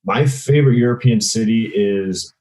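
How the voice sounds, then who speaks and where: A man's voice: fairly low pitch, moderate pace, darkish tone, rough recording. A man speaking as a podcast guest and real estate investor, answering conversationally.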